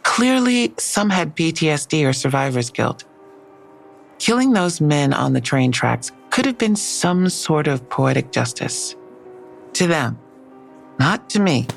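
An actress playing a skeptical police detective in an audio drama, dry and matter-of-fact, with a low, gravelly voice. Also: female voice, very animated, a little slow, faint music behind, exaggerated voice. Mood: briefing, suspicious.

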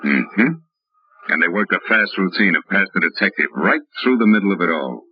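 An actor playing a tough, wry, world-weary American private detective in 1940s radio drama style. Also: male voice, deep voice, lively intonation, fairly quick, breathy edge. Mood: explaining, wry. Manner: wry, hard-boiled, dramatic. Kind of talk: 1940s radio drama acting, hard-boiled narration. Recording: muffled old radio recording with music.

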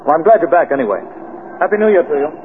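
An unhappy, lovelorn gentleman in a vintage radio drama. He speaks very quickly and earnestly, through a scratchy, tinny broadcast. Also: on the high side, sing-song. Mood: troubled, bitter. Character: troubled, bitter, lovelorn gentleman shut out. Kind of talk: 1930s-40s radio drama acting.

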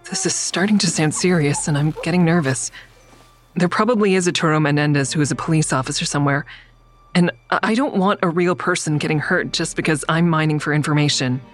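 A woman's voice: low voice, fairly quick, faint music behind, close to an everyday voice. A woman playing a wary, stubborn mother who narrates her risky investigation in the first person in an audio drama. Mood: guarded, uneasy.

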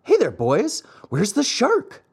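A man's voice: very high-pitched, wildly swooping pitch, slow, gravelly, breathy edge, voice pushed up, exaggerated voice. A man voicing a cheeky, defiant boy marionette in a taunting, singsong storybook manner. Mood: indignant.

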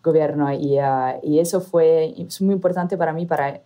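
A woman from Italy speaking with an Italian accent as a guest and company founder, talking in a halting way with many hesitations and restarts. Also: sits low, steady tone, warm tone. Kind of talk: casual interview talk.

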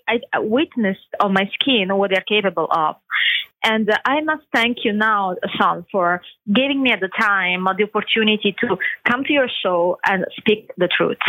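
A woman's voice: mid-range voice, sharp tone, dry recording, lo-fi sound. A woman from Italy with an Italian accent, speaking as a radio guest in an impassioned, emphatic way. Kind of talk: interview answers.

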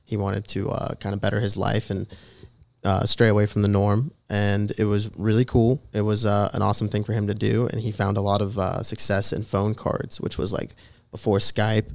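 This is a young man in his twenties, originally from Jordan, talking as a guest on a podcast. His voice sits low and carries a gravelly, creaky edge. He rambles casually, stringing thoughts together with plenty of fillers. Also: flat delivery, normal speed. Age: 20s. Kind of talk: casual interview chat.

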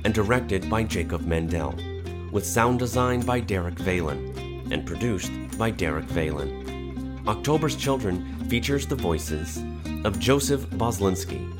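A man reading a podcast outro in a measured, slightly ominous tone. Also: sits low. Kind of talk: scripted outro. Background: loud music.

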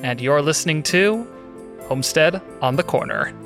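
Podcast host, medium pitch, conversational, music behind. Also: animated, normal speed.

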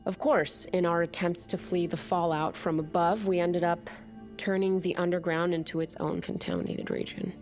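An actress as defiant underground rebel leader, low-voiced. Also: female voice, fairly even intonation, normal speed, a little mellow, faint music behind, close to an everyday voice. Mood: earnest, ideological. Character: defiant woman leading an underground separatist movement, cynical idealist. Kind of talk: audio drama acting.

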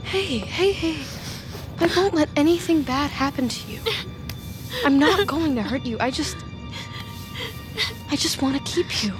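A woman's voice: sits high, a little slow, pauses often, music in the background, low-quality recording. An actress playing a manipulative, obsessive young woman in an audio drama, with a saccharine, gleefully controlling manner. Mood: cooing, possessive. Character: manipulative, obsessive young woman, sweetly menacing former best friend.

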